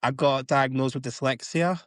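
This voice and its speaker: A man's voice: medium pitch, steady tone, normal speed, fairly bright tone, no echo, studio quality. A man co-hosting a podcast in a chatty, rambling way, filling his sentences with "like". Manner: chatty, rambling with many likes. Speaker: podcast co-host. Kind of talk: casual chat.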